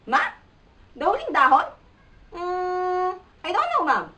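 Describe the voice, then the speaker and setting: Woman putting on a very high-pitched, nosy schoolkid puppet. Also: sing-song, takes it slowly, bright, forceful tone, recorded from a distance, full character voice. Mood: curious. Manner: inquisitive, interrupting. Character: chatty inquisitive child pupil puppet interrupting teacher. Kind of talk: puppet show skit.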